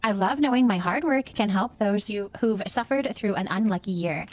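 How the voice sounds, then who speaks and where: A woman's voice: medium pitch, normal speed, lightly acted. A woman reading aloud the lines of a grateful, generous villager in a video game.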